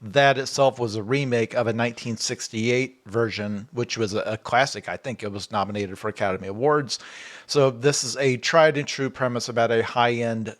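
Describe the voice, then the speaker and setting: Animated storytelling man on a podcast. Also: medium pitch, normal speed. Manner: animated storyteller, acts out quotes in raised voices. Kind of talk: casual podcast chat.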